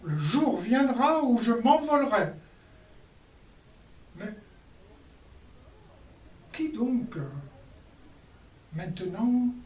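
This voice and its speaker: A performer recites poems in a declamatory manner, leaving long pauses between short phrases. The delivery is extremely slow and deliberate. The voice is picked up from a distance with faint noise around it. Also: medium pitch, a little mellow, echoey room. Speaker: performer reading poems in a show. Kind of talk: dramatic poetry recitation.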